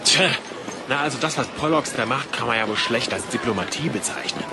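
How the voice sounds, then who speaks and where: A man's voice: medium pitch, animated, fairly quick, very noisy, close to an everyday voice. A man playing a hot-headed young swordsman in an audio drama, brash and sarcastic. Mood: grumbling.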